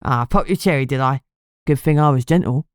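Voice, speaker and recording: A woman's voice: rumbling low, fairly quick, clear, resonant tone, no echo, clean studio sound. A woman voicing a shambling, streetwise male ghoul in an audiobook, with a thick Cockney accent and cheeky, slangy talk. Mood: teasing, sly. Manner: broad slangy speech, cheeky endearments. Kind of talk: audiobook character dialogue.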